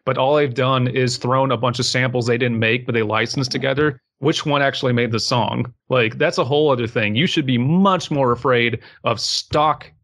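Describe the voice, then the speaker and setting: Blunt mid-pitched man on a podcast. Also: steady tone, normal speed, hardly any pauses, fairly bright tone. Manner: blunt, emphatic, sweary. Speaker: podcast co-host. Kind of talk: casual opinionated podcast discussion.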